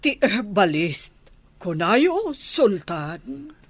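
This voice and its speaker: A woman with an Ilocano accent playing a dignified village noblewoman and mother in a radio drama, measured and formal. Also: medium pitch, big pitch swings, no room sound, heavily acted. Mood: formal, respectful.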